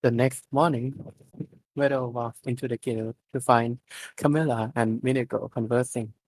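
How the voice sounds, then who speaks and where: A man's voice: mid-range voice, fairly even intonation, normal speed, a little mellow, dry recording, low-quality recording. Man reading a story aloud, very dry sound. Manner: informal, stumbling over words. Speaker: reader of fan fiction at an online readalong. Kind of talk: live amateur story reading.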